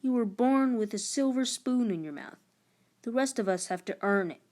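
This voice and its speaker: A woman's voice: fairly high pitch, animated, normal speed, darkish tone, pitched above the performer's own voice, lightly acted. A woman voicing a proud, status-hungry middle-school boy in a storybook, with a casual, defiant manner. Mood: resentful.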